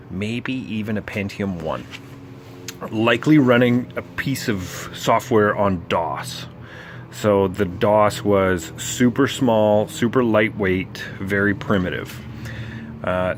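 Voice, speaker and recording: Low-pitched man explaining gear, noisy background. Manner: halting, thinking aloud as he explains. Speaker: presenter explaining vintage broadcast gear. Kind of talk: casual unscripted technical walkthrough.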